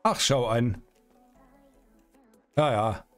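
A man's voice: mid-range voice, drawn-out words, fairly bright tone, soft background music. A middle-aged man giving casual, playful gameplay commentary and chatting in character. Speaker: streamer hosting a GTA roleplay series. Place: small indoor streaming room.

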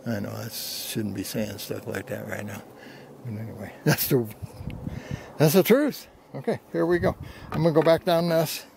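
Gravelly older man vlogging on a hike. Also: medium pitch, fairly even intonation, moderate pace, darkish tone. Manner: folksy, self-deprecating. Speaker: hiking vlogger. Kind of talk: casual narration while hiking. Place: outdoors on a rocky desert mesa slope. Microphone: handheld camera at arm's length. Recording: outdoor handheld camera with ambient noise.

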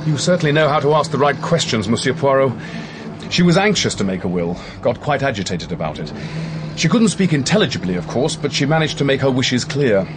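An actor playing an earnest, defensive country doctor with an English accent, speaking frankly in a radio drama. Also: male voice, medium pitch, big pitch swings, brisk, natural voice. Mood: insistent.

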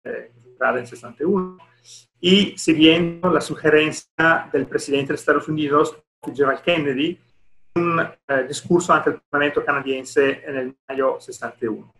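A man's voice: on the high side, fairly even intonation, moderate pace, fairly bright tone. A middle-aged man from Italy with an Italian accent gives a formal presentation in a non-native language, often searching for words, on a headset in a video call. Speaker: deputy director at an international organisation, presenting. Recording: roomy echo on video call.

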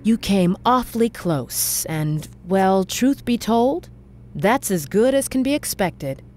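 Commanding woman boss, mid-pitched, expressive, for a spy game. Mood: measured approval. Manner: authoritative, measured. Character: stern female chief of a detective agency. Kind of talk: animated video game dialogue.

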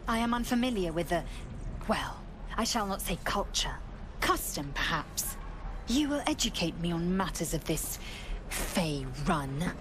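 A woman's voice: fairly low pitch, sing-song, measured pace, background noise. A woman voicing a haughty, fierce alien warrior in a video game, speaking in clipped, imperious, formal phrases. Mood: stern, formal.

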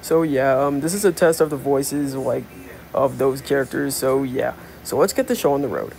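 A man's voice: mid-range voice, normal speed, vocal fry, darkish tone, faint music behind. A man casually introducing his reel of character voices as an amateur voice actor.

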